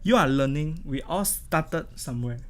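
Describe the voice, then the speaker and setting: A woman's voice: low voice, lively intonation, normal speed. A young woman with a Malaysian accent co-hosting a casual talk show, sounding earnest and agreeable. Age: young adult. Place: university broadcast studio. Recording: faint background studio noise.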